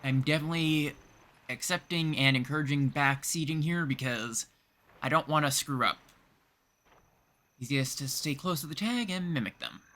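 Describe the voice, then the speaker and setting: Bright-voiced man livestreaming a game. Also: on the high side, normal speed. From Canada. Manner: mutters instructions aloud while reading, thinking out loud. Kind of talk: casual game stream commentary.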